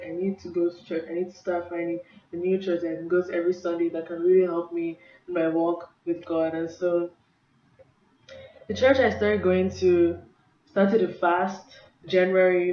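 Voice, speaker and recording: A young woman from Nigeria sharing a personal faith story in an earnest, casual vlog, full of "like" and "um". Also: fairly low pitch. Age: young adult. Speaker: vlogger. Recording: roomy, distant, slightly dull sound.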